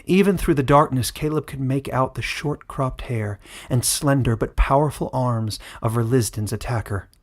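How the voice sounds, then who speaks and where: Man narrating fantasy with measured suspense. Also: medium pitch. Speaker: author and narrator. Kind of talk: dramatic scripted fantasy narration.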